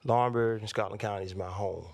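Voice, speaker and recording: A man, introducing himself as a candidate at a local forum in a measured, plainspoken way. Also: lowish voice, fairly even intonation, vocal fry, darkish tone. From North Carolina, USA. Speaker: candidate for county commissioner.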